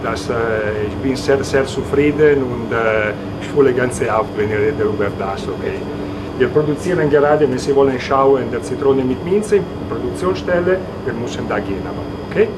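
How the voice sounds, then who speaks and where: A man from Italy, an ice cream maker, speaking halting German with an Italian accent and many pauses and fillers. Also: mid-range voice, animated, very noisy.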